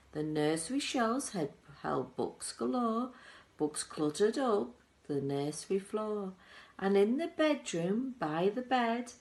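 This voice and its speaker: A woman reading a children's book aloud in an expressive storytelling style, as a teaching assistant would for pupils. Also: medium pitch.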